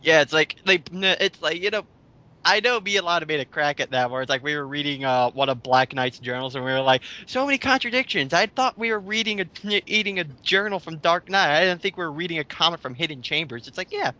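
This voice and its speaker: A man's voice: a little high, fast talker, pushed and bright. A man co-hosting a casual commentary show, sarcastic and sweary as he chats and mockingly reads things out. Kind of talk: casual chat and mocking read-aloud of online comments.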